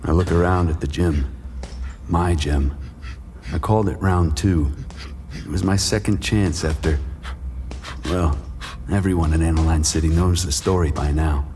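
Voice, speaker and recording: An actor playing a weary, washed-up boxer who narrates his haunted past in a measured noir style. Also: rumbling low, a little mellow, slight background noise. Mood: weary, reflective. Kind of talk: brooding first-person noir narration in audio drama. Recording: music bed under the voice.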